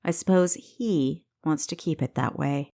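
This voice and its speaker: Woman reading as calm serious lady friend in an audiobook, measured and knowing, slow and lowish. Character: Charlo, composed serious female confidante of a wrestler.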